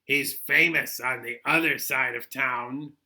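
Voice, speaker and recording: A man voicing an odd, unflappable male taxi passenger from a picture book, terse and deadpan. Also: medium pitch, slow. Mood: terse, insistent. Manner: terse, deadpan, stubbornly matter-of-fact.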